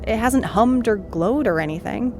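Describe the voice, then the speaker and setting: A woman's voice: a little high, animated, moderate pace, music in the background, natural voice. An actress playing a skeptical, teasing young woman in an audio drama, speaking in a dry, doubtful manner.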